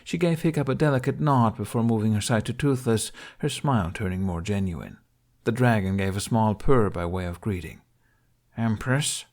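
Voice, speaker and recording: A man's voice: on the low side, a little fast, a little mellow. A man reading scripted audiobook narration of a story.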